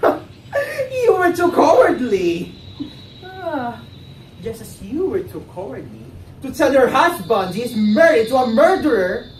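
A man's voice: very high voice, sing-song, takes it slowly, a little hiss or hum, heavily acted. A young man playing a smug, scornful blackmailer in a stage play, mocking and dramatic. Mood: taunting, scornful. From Philippines. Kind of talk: theatrical stage acting of a classic play. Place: indoor living-room stage set. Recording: roomy, distant microphone, music behind.

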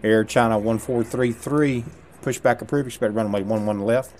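A middle-aged man playing an air traffic controller, reading radio clearances with drawling, casual asides between calls. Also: mid-range voice, steady tone, normal speed, darkish tone. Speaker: gameplay streamer. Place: small home room indoors.

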